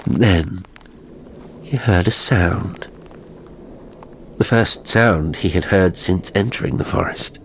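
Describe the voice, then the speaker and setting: A man reading a horror audiobook as narrator, with measured, suspenseful and deliberate delivery. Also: low-pitched, a little hiss or hum.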